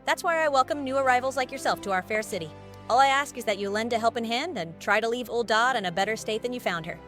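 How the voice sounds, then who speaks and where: Actress as a folksy, higher-voiced British innkeeper woman. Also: fast talker, fairly bright tone, soft background music, close to an everyday voice. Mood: welcoming, businesslike. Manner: folksy, chatty, frank. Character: brisk, plain-spoken woman innkeeper running an adventurers' guild. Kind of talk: scripted video game cutscene acting.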